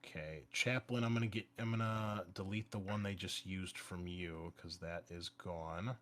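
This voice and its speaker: A man's voice: low voice, moderate pace, fairly bright tone. A man speaking as a game master, casually explaining rules and narrating the action with some swearing. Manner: casual, profane.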